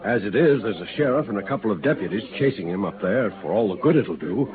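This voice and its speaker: An actor playing a worried, self-important bank president in a 1950s Western radio drama, hesitant and flustered. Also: male voice, on the low side, expressive, fast talker, lightly acted. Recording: tinny old radio, crowd murmur behind.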